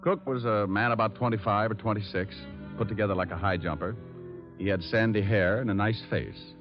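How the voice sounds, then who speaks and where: An American actor playing a wisecracking, flippant private detective in a glib, sardonic 1940s radio style. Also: male voice, fairly low pitch, steady tone, brisk, music in the background, lightly acted. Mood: wry narrating. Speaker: lead actor and narrator. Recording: muffled old radio broadcast.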